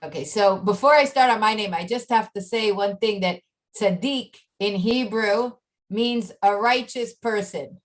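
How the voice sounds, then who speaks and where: Woman, mid-pitch voice, chatty and explanatory, video call audio. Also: a little slow. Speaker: chat participant. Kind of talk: casual video call chat.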